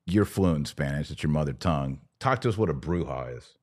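Very deep-voiced American man, sardonic podcaster. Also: lively intonation, fairly quick. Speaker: podcast host. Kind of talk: conversational religious commentary.

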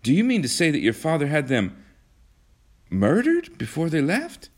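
Earnest young man, stammering, rising pitch, a man reading aloud and putting him on with lively swings. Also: moderate pace. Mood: incredulous.

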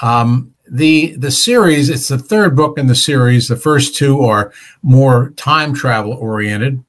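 An older man answering interview questions as a guest author, in a measured, plain-spoken, slightly hesitant way. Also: mid-range voice.

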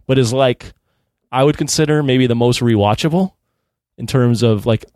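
A man's voice: mid-range voice, moderate pace, darkish tone, no echo, clean studio sound. A man in his thirties co-hosting a podcast, talking casually about films. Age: 30s. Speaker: podcast co-host. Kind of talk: casual film discussion.

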